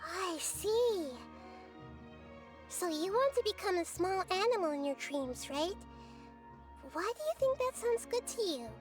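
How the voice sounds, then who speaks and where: Soft little girl goddess, calm and wise, really high voice, game music behind. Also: unhurried, long pauses. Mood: thoughtful. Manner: soft, thoughtful, speaks in calm analogies. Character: gentle childlike goddess, wise and playful. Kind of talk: video game voice acting.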